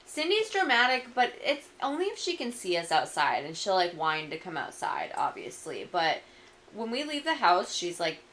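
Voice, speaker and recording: A woman's voice: lowish voice, wildly swooping pitch, normal speed, fairly bright tone, a little hiss or hum. A woman co-hosting a casual podcast, chatting in an easygoing way with lots of fillers. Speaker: podcast co-host. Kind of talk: casual chat.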